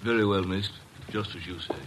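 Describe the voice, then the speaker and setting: An actor playing a stiff, forbidding butler with a formal, curt manner in an old-time radio drama. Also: male voice, fairly low pitch, steady tone, fairly quick, heavy background noise, natural voice. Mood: deferential.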